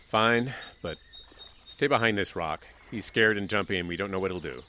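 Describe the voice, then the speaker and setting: A man's voice: low voice, moderate pace, sharp tone, slight background noise. A man acting in a radio drama as a weary, authoritative small-town sheriff with an exasperated manner. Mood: wary. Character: stern, weary male small-town sheriff, war veteran.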